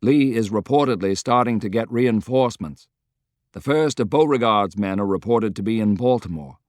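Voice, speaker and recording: A man's voice: lowish voice, normal speed, a little mellow, dry recording, studio quality, lightly acted. A man voicing a loyal, good-humored adviser in an audiobook, briefing frankly and earnestly.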